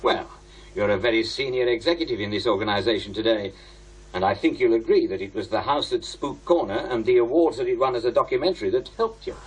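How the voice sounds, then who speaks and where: A man's voice: mid-range voice, quick pace, breathy edge, lightly acted, poor audio. A British man acting in a radio drama as a bitter, defiant investigative presenter with a sardonic, confrontational manner. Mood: probing, accusing.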